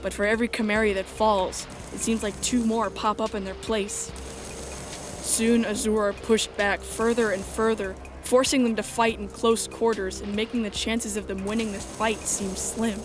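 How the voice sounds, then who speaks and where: A woman's voice: medium pitch, little pitch movement, moderate pace, noisy background. A woman reading scripted first-person story narration as the narrator of a dramatic fan dub. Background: music.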